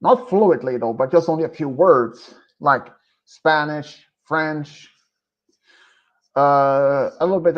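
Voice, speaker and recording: Male streamer, Swedish accent, English not his first language; unhurried, rambling, full of fillers; muffled low-fi mic. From Sweden. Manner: hesitant and rambling, with many pauses and fillers. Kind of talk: casual live-stream chat.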